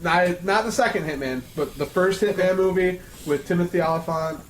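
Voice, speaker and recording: A man's voice: sits high, normal speed. A man on a podcast panel chatting casually with friends, informal and often repeating himself. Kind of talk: casual round-table chat among friends. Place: indoor room, group around a table. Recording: echoey room with faint background noise.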